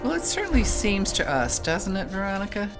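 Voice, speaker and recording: A woman's voice: mid-range voice, sing-song, a little fast. A woman playing a kindly, devout storyteller who earnestly teaches children in an audio drama. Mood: storytelling. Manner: earnest, instructive, addresses listeners by name. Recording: music playing behind the voice.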